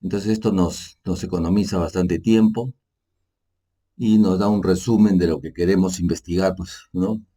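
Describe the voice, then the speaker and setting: A man with a low, deep voice and a dark, mellow tone narrates a screen tutorial. He talks in an unhurried, casual way, correcting himself as he goes and checking in with little tag questions. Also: fairly even intonation. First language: Spanish. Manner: casual and explanatory, frequent fillers like "pues" and "¿no?"; halting, self-correcting narration while working on screen. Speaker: energy manager giving a software tutorial. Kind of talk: unscripted screen-share tutorial.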